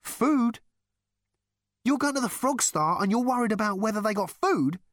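Male reader as ominous space conspirator in sci-fi audiobook, emphatic delivery, lifted pitch, lively swings. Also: normal speed, dry recording, clean studio sound. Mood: scornful. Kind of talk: audiobook character voice.

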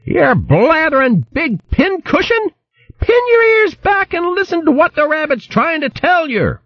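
A man's voice: very high voice, big pitch swings, measured pace, dry recording, dull audio, voice pushed up, heavily acted. A man voicing a fierce, bossy female hedgehog who scolds in rustic country speech. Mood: scolding.

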